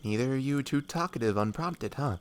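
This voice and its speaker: A man voicing a laid-back, blunt but kindly woodsman, casual and unhurried, in a fantasy audio roleplay. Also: medium pitch, animated, a little hiss or hum, close to an everyday voice. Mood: easygoing. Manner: casual, unhurried, matter-of-fact.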